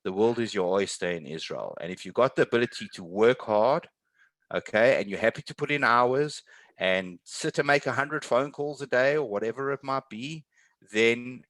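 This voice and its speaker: A man's voice: lowish voice, a bit bright, no echo. A man speaking as a panelist in an online webinar, giving earnest, encouraging and emphatic career advice. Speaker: webinar panelist, tech sales professional.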